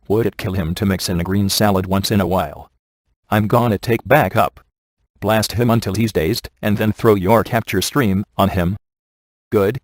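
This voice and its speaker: A man's voice: on the low side, no echo. A man playing a wisecracking, laid-back ghost hunter in a video game, with a dry, sardonic and glib delivery. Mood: wry instruction, then coaching, smug. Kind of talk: scripted video game character dialogue.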